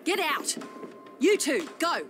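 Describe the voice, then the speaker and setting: A woman's voice: high voice, big pitch swings, takes it slowly, music in the background, heavily acted. An actress playing a tough, pragmatic woman survivor who takes charge bluntly in a video game cutscene. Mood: urgent. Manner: blunt, commanding, calls people doll and love.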